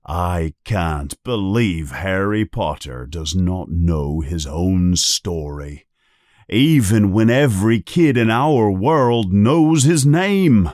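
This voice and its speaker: A man voicing a big gruff but kind-hearted giant in a storybook reading, growling low and slow. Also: expressive, darkish tone, no room sound, studio quality. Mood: gruff, troubled.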